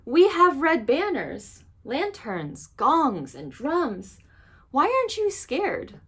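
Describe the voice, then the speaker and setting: A woman voicing a brave, quick-witted young girl in a storybook, crying out urgently and persuasively. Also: high voice, wildly swooping pitch, voice pushed up, exaggerated voice. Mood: alarmed.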